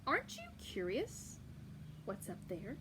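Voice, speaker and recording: A woman's voice: medium pitch, huge pitch swings, slow, noisy background. Woman doing a coaxing old stranger. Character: mysterious coaxing old man.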